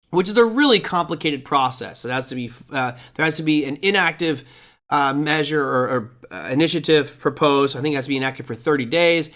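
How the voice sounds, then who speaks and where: A middle-aged man with a slightly raised pitch hosts a live markets show, his voice hoarse and crackly after hours of teaching. He talks casually and bluntly with strong opinions, often restarting his sentences mid-thought. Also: a bit bright. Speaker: host.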